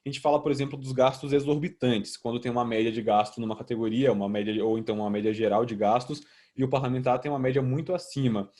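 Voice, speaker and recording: A man's voice: mid-range voice, fairly even intonation, quick pace, few pauses, warm tone. A young man with a Brazilian accent presenting a student project in a didactic, conversational but semi-formal way.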